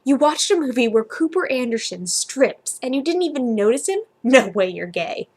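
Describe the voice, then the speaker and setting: A woman voicing a bossy, exasperated, sharp-tongued young man in a story reading. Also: sits high, very animated, moderate pace, full character voice. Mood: emphatic.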